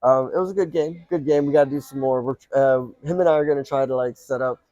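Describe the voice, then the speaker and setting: Chatty man hosting, mellow voice. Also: mid-range voice, fast talker, no echo, highs cut off. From Colombia, raised in South Florida. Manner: chatty, rambling, lots of fillers. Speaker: podcast host. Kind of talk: casual chat interview. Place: small booth in an indoor shopping mall.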